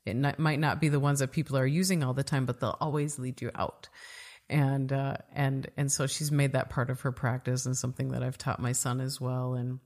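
A woman's voice: a bass voice, little pitch movement, brisk, darkish tone. A woman hosting a podcast interview, chatting casually with many fillers and restarts. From United States. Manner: rambling, frequent fillers and restarts. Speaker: podcast host.

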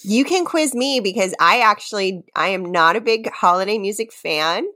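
A woman's voice: medium pitch, lively intonation, normal speed, pushed and bright. A woman hosting a podcast in casual comedic chat, with a teasing manner and a theatrical streak when reading lyrics. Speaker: podcast host.

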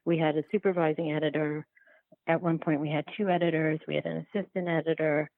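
Female documentary filmmaker as podcast guest, thin phone sound, fry in a lowish voice, casual halting delivery. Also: little pitch movement, no room sound. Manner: halting, repetitive, self-restarting storytelling. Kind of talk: casual interview talk. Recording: tinny, phone-like line.

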